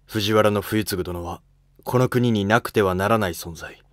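Man playing dignified low-voiced emperor. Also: moderate pace, dry recording, studio quality, natural voice. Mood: solemn. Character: reigning male emperor, dignified, considerate ruler. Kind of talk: amateur historical drama dialogue.

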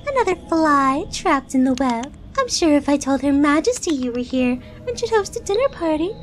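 A high-voiced, gleeful spider villainess, taunting and theatrical. Her pitch swoops widely, with faint noise behind the game-style performance. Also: very animated, moderate pace. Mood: gleeful menace.

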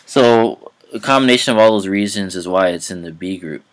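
A young man giving a casual, halting explanation over a screen recording, full of fillers and restarts. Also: deep voice, low-quality recording. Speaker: language hobbyist vlogger.

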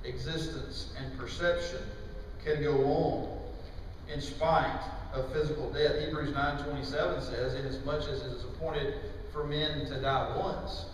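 A man's voice: medium pitch, fairly even intonation. Echoey male preacher in a large hall. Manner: emphatic, deliberate phrasing with pauses. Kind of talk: sermon. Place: large church auditorium, indoors. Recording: distant, very echoey hall with faint noise.

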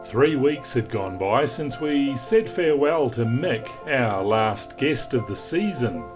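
A man's voice: medium pitch, animated, slow pace. A middle-aged man hosting a sailing vlog from on board his boat, chatting upbeat with a few fillers. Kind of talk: casual vlog narration and chat. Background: music under the narration.

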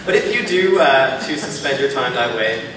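A man's voice: mid-range voice, lively intonation, normal speed. Earnest man speaking in an echoey hall. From Canada. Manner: earnest, persuasive, builds points in rhythmic lists. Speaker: keynote speaker. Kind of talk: public speech. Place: large hall, at a podium on stage. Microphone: podium mic, filmed from far off. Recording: echoey hall from far off, room noise.

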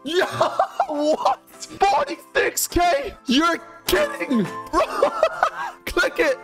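A hoarse man commentating his online gambling in a casual, excitable way, calling his viewers guys and bro. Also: extremely high pitch, drawn-out words, over music. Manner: excitable, shouts and laughs at big wins. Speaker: gambling streamer.

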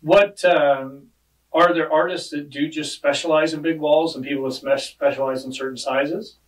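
A man's voice: a little high, fairly even intonation, normal speed, far from the mic, low-quality recording. A middle-aged man hosting a podcast, asking questions in a friendly, chatty, self-deprecating way in a studio. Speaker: podcast host. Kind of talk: casual interview chat. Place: studio with dark padded walls. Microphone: podcast mic on a desk arm.

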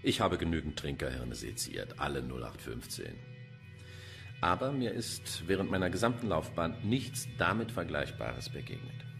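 A man's voice: extremely low pitch, very animated, normal speed, creaky, a bit bright, soft background music, full character voice. An actor playing an older, cynical, pompous male pathologist in a crime radio play. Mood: sardonic, clinical. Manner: pompous, dry, theatrical. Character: sardonic, cynical, poetry-quoting male forensic pathologist. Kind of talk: German crime radio drama acting.

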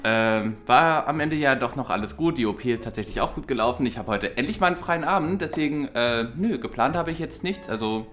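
A young man playing an easygoing, muddled flatmate who speaks in a hesitant, halting way. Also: medium pitch, close to an everyday voice. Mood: relaxed. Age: young adult. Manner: laid-back, hesitant, halting. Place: indoor flat.

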